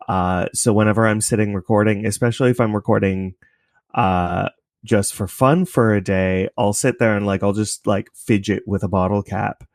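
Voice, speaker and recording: A man's voice: fairly low pitch, fairly even intonation, moderate pace, dry recording, clean studio sound. A man, speaking as a guest in a casual interview, rambling thoughtfully. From Canada. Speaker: interview guest, podcast creator. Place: home basement apartment, at a computer desk.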